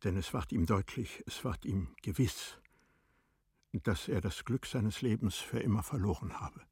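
A man narrating a tragic classic story in a radio play, in a solemn, measured, dramatic manner. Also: on the low side, darkish tone, husky, no echo, studio quality, exaggerated voice. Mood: grave.

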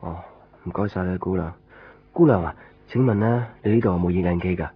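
Deep-voiced, brooding male patient. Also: a little fast, slightly rough. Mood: polite, hesitant. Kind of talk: radio drama acting with first-person narration. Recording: muffled 1990s radio drama, faint music.

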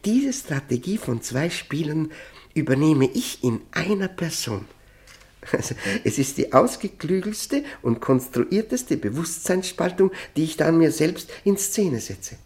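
An actor playing an obsessed, thirst-tormented prisoner who is losing his mind, in an intense, feverish radio drama performance with halting repetitions. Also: fairly high pitch, sing-song, darkish tone. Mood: agitated, intense. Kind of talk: German radio drama monologue and dialogue.

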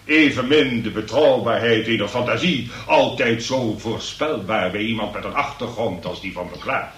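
A man's voice: fairly low pitch, moderate pace, a bit bright, natural voice. Man playing a pompous magistrate in an echoey room. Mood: self-assured. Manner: pompous, verbose, archaic legal phrasing. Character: pompous, long-winded male examining magistrate. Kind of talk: comic radio play acting, courtroom oratory. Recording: noisy, echoey room, distant from mic.

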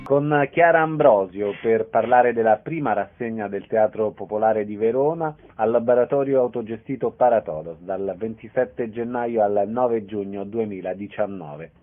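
A man's voice: lowish voice, moderate pace, few pauses, faint music behind. A man hosting a radio interview, putting questions to guests. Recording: narrow-band radio sound.

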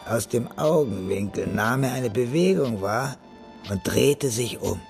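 A man narrating a radio crime play in a measured, grave way. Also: mid-range voice, animated, creaky, darkish tone, faint music behind.

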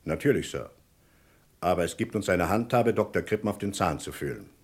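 Deep voiced detective in a radio play, super polite but pushy, very lively intonation. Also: male voice, a little fast, breathy edge, full character voice. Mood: deferential, businesslike. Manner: formal, deferential, insistently polite. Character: courteous but probing male Scotland Yard chief inspector. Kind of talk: German crime radio play acting.

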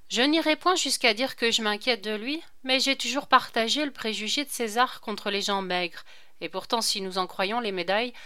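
A woman reading a letter aloud as part of an audiobook, clear and expressive. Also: mid-range voice. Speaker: audiobook reader.

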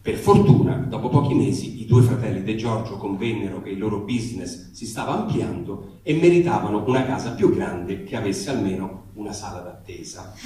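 A man's voice: fairly low pitch, warm tone. A man giving a wry, comic reading of a letter, told as a story. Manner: wry storytelling, acting out dialogue. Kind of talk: comic literary reading before a microphone. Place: echoey room, picked up from afar. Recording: very echoey, distant mic, faint noise.

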